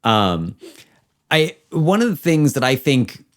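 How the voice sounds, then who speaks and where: A man with a medium-pitched voice hosts a relaxed podcast interview. He talks informally, working out his thoughts as he goes. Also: slow. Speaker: podcast host and game master. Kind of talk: casual conversational interview.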